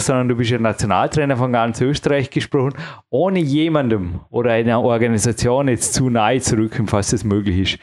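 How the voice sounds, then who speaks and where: A man's voice: mid-range voice, a little mellow. Man hosting a podcast. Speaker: podcast host interviewer. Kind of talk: conversational podcast interview.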